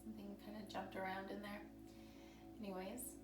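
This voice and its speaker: A woman leads a yoga class in calm, gentle cues, her voice a little scratchy, and she clears her throat now and then. Her delivery stays flat and even. She sounds distant in an echoey room, with soft music playing underneath. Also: medium pitch, brisk. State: slightly scratchy voice. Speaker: yoga teacher. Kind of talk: guided yoga instruction. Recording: roomy echo from a distant mic with background music.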